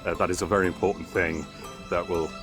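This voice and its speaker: Low-voiced man chatting over background music. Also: fairly even intonation, quick pace. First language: Bosnian. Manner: chatty, wordy, with sightseeing flourishes. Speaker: travel vlogger. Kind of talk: travel vlog commentary while walking. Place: outdoors in a quiet park.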